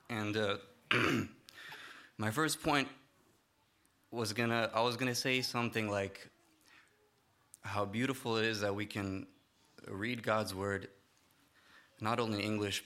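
A young man giving a church sermon, speaking in a halting way with many fillers and restarts. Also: fairly low pitch, fairly even intonation, pushed and bright. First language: Romanian not first language. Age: young adult. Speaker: lay preacher.

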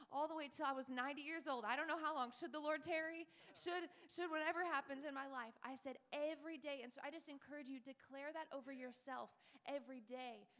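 A woman's voice: high-pitched, a bit bright. A young woman in her twenties giving a fervent preaching testimony on a church panel. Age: 20s. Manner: fervent, breathy preaching, mimics tongues. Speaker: panel speaker.

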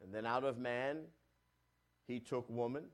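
A man pastor preaches at an even middle pitch. His delivery surges loud and high on key points, then sinks into quiet, low asides. He restarts and repeats phrases as he goes. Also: unhurried. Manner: swells louder on key points and drops low and soft on asides. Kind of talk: sermon.

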